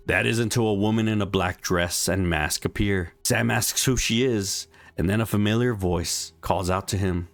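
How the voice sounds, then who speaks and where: A man reading a scripted story recap in a measured, explanatory storytelling manner. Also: lowish voice, dry recording. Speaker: narrator of a video game story recap. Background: background music.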